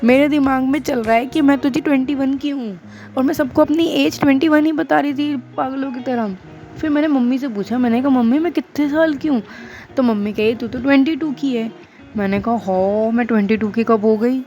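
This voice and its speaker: A woman's voice: high-pitched, fairly quick, mellow. A woman in her twenties chatting casually to her viewers in a self-deprecating way. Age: 20s. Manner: chatty, mixing English phrases, self-deprecating. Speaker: vlogger and school teacher. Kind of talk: casual Hinglish chat to camera.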